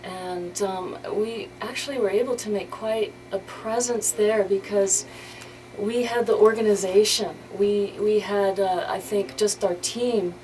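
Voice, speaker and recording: A woman's voice: medium pitch, fairly even intonation, measured pace, darkish tone. A woman speaking conversationally as a charity organizer being interviewed as a guest on the radio. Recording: clearly noisy radio interview recording.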